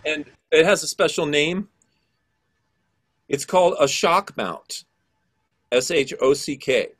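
A middle-aged man with a low voice teaching an online class over a video call, explaining casually with many fillers. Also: slow pace, fairly bright tone.

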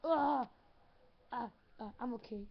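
A woman improvising toy-figure dialogue for a home video, halting and giggly, with lots of fillers and restarts. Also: on the high side, creaky. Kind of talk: playful improvised toy-movie chatter. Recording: muffled lo-fi home recording.

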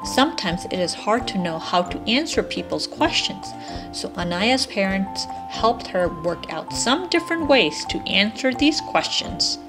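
A woman in her thirties reading a children's story aloud in an expressive manner meant for young listeners. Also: medium pitch, unhurried, over music. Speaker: storybook reader, parent.

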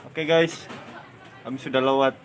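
A man's voice: mid-range voice, steady tone, unhurried, a loud crowd. A man chatting casually to viewers as a vlog host on a handheld phone in a mall. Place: inside a busy shopping mall and shops. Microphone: handheld phone at arm's length. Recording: loud music over the voice. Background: loud music.

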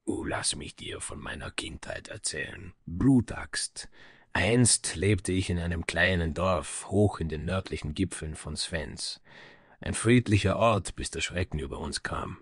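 An actor playing a somber, proud dwarf smith in a fantasy audio drama, grave and dramatic with breathy, hushed phrases and a husky voice. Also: male voice, deep voice, measured pace, no room sound, clean studio sound, heavily acted. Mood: haunted, sorrowful.

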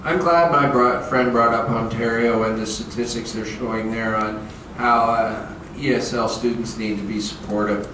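Give me a politician full of uhs, echoing in a hall. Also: male voice, fairly low pitch, fairly even intonation, normal speed. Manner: many filler uhs, emphatic calls to act. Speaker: politician on a panel. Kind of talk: panel debate answer. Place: large hotel meeting room. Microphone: handheld mic. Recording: far-off echoey hall with noise.

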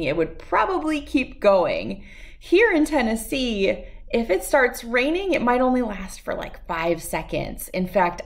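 A middle-aged American woman speaking expressively and clearly as a host of children's storybook read-alouds. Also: mid-range voice. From United States, lived in Wisconsin.

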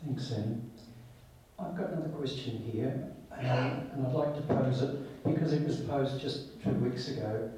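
A man's voice: medium pitch, a little slow, dark tone, slight background noise. A man moderating a panel discussion, posing questions plainly. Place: small meeting room. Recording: echoey room from far off.

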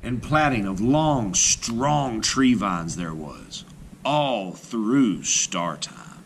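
Deep-voiced, animated man reading a folktale. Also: vocal fry, a little hiss or hum. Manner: theatrical, measured, lingering on phrases. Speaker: storybook reader. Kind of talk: animated read-aloud of a folktale for children.

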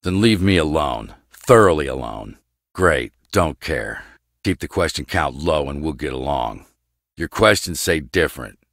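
An actor playing a gruff, guarded, brooding scientist in a video game, with a terse, clipped, deadpan delivery. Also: male voice, a bass voice, measured pace, no echo, clean studio sound, exaggerated voice. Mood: curt, guarded.

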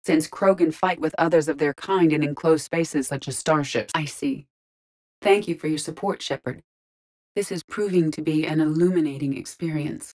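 An actress voicing a female artificial intelligence in a video game, speaking in a measured, formal, precise and detached way. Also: sits low, darkish tone. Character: calm, analytical female artificial intelligence in an android body.

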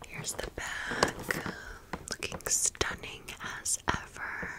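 A woman whispers softly and breathily, with almost no voiced sound. She takes her time, speaking very slowly in a casual, chatty ASMR style, like someone sharing a story. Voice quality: soft breathy unvoiced whisper. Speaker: ASMR video creator.

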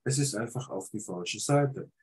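A man's voice: mid-range voice, animated, normal speed, a little mellow, slightly rough. A man from Switzerland with a cold, bluntly critiquing flyer photos on a video call, hesitating with fillers. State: has a cold. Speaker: photographer giving feedback. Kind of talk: casual video call discussion.

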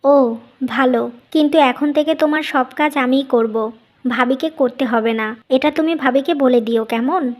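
A woman's voice: sits high, measured pace, darkish tone. A woman voicing a jealous young new bride in a cartoon story, scornful behind polite talk. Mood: polite, probing. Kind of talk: Bengali cartoon story dubbing.

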